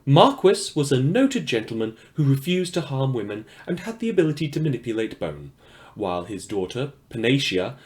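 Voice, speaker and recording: A man's voice: medium pitch, a little mellow, natural voice. A man playing a wry, awkward, self-deprecating small-time radio news host in a fiction podcast set after the apocalypse. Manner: rambling, self-deprecating, dryly sardonic.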